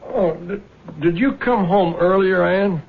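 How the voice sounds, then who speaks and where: A man's voice: sits high, unhurried, vocal fry, exaggerated voice. An American actor playing a crafty, stubborn middle-aged father in a radio drama, speaking in a dry, indignant manner. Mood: puzzled, uneasy. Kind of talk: 1970s radio drama acting. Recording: lo-fi muffled vintage radio recording.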